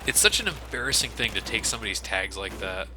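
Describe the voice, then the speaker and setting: A man with a fairly low voice commentates casually on his video game. He is sarcastic and swears freely, and loud game gunfire runs clearly behind him. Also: big pitch swings, quick pace, very noisy. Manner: sarcastic, profane. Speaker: let's play commentator.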